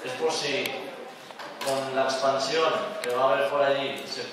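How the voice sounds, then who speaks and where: A man of middle pitch politely puts a question from the audience. He sounds far from the mic, and the hall rings with reverb over constant noise. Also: steady tone, a little slow. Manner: polite, repeats himself to clarify the question. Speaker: audience member asking a question. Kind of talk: casual Q&A. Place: large lecture hall.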